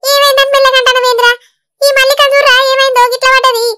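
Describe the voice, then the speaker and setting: A squeaky, bright cartoon mother-in-law who fusses loudly in a Telangana Telugu accent. She talks very fast and very high. Her village manner is bustling and excitable. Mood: alarmed. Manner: loud, fussing, quick Telangana village talk. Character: fussy village mother-in-law, middle-aged woman. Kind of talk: cartoon voice acting.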